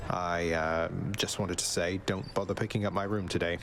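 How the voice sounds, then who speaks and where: An actor playing a wry, cocky young prince in a fantasy video game, dry, casual and polite. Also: male voice, sits low, steady tone, moderate pace, natural voice.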